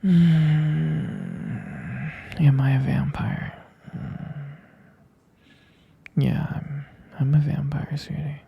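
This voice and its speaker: A man acts out a drowsy, tender vampire boyfriend in a bedtime ASMR roleplay. His voice is very breathy and husky, crackling with heavy fry. He speaks slowly and casually, with hesitant, intimate murmurs. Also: a little high, flat delivery, muffled recording, full character voice. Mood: patient, explaining. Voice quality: breathy, gravelly half-whisper. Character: gentle, sleepy vampire boyfriend comforting his partner at bedtime.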